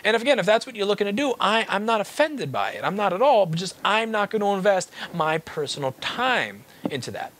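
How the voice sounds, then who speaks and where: A man's voice: sits high, very animated, fairly quick, hardly any pauses, fairly bright tone. A man giving a blunt, exasperated, unscripted rant to viewers, stressing points by repeating phrases. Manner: exasperated, occasionally swearing. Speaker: repair business owner making a video.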